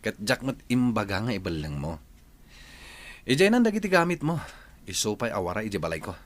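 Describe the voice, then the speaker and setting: A cold, domineering new husband in an Ilocano radio drama. His low, widely swinging voice is curt and commanding, with a Filipino accent. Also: very animated, brisk. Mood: commanding, irritated.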